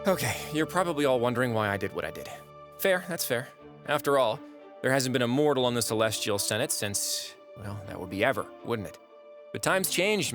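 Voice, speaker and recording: A man's voice: mid-range voice, lightly acted. An actor playing a wry, irreverent former god who narrates his own record in a chatty, sardonic audio drama style.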